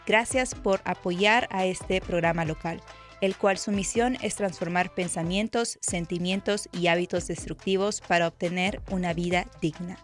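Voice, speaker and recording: A woman from Peru with a Peruvian Spanish accent, a therapist hosting a radio show and reading a prepared introduction in a measured, earnest way. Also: lowish voice, fairly even intonation, faint music behind. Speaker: radio show host and mental health therapist.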